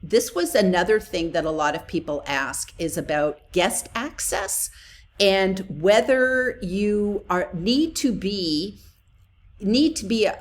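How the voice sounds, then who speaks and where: A woman co-hosting a live online talk show, explaining practical tips in a casual, conversational way. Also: fairly low pitch. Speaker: co-host. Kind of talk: casual chat.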